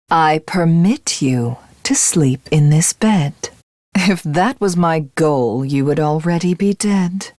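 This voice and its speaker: A woman voicing a proud, cold warrior commander in a video game, speaking in a formal, commanding and measured way. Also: on the low side, darkish tone, no echo, clean studio sound. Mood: curt, commanding. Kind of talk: video game character voice acting.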